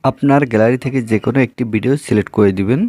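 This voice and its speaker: A man's voice: medium pitch, very fast, darkish tone, dry recording. Man narrating a phone tutorial. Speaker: tech tutorial video host. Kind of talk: scripted tutorial narration, addressing viewers.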